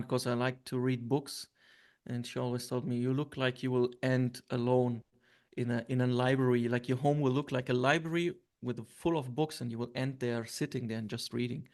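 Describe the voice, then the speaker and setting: Syrian guy, gravelly voice, lots of ums and restarts in an interview. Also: mid-range voice, a little mellow. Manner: hesitant, many fillers and restarts. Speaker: interview guest. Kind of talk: reflective interview answers.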